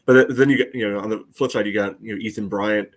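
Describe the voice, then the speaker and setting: A man's voice: on the low side, steady tone, fast. A middle-aged American man chatting about soccer as a podcast panelist, in a rambling, conversational way. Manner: rambling, leans on "you know". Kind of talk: casual soccer analysis chat.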